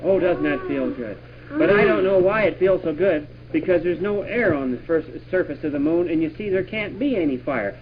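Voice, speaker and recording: An excitable astronaut commander acted out by a man in a homemade radio play, with a high voice that swoops widely. He exclaims and hands out urgent orders. The sound is a muffled home tape from the 1960s. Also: normal speed, slight background noise. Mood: relieved, instructive. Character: excitable male astronaut commander leading a moon trip. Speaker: father playing with his children. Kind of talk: improvised pretend radio play.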